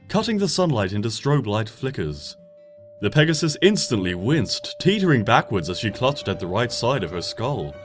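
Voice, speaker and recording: Expressive man reading a story over guitar. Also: mid-range voice. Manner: expressive, swings between bright and hushed. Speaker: fanfiction reader. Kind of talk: storybook narration. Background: soft guitar music.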